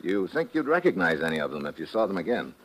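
A hard-boiled American agent talks in a low, blunt voice that rises and falls with plenty of swing. with wisecracking confidence in a vintage radio drama. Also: male voice, very animated, fast, a bit bright, heavily acted. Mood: firm. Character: tough American government agent, middle-aged. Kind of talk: 1940s radio acting.

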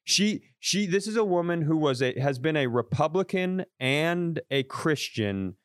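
Animated swearing male podcast storyteller. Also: a little high, measured pace, clean tone, no room sound, studio quality. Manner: animated, swearing, restarts mid-sentence. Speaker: comedian podcast host. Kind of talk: casual storytelling chat.

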